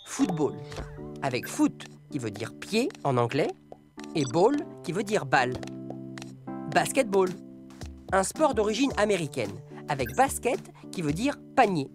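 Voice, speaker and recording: Lively woman explaining words on radio. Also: fairly low pitch, a little slow, long pauses, over music. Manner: playful, explaining, quiz-like. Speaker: presenter of a language segment. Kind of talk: scripted educational radio segment.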